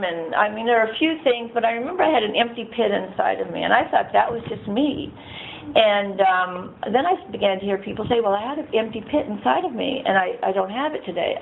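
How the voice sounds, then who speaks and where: Shy soft-spoken lady telling her recovery story, bit of fry, tinny phone-line sound. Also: medium pitch. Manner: shy, rambling, laughs often, acts out quoted voices. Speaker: recovery meeting speaker. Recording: tinny phone-like, faint noise.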